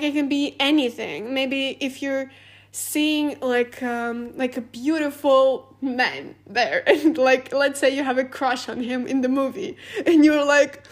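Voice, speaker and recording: Woman, high voice, chatty podcast host, laughs a lot, says like all the time. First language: Russian. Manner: chatty, animated, laughs often, many "like" fillers. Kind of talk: casual advice monologue.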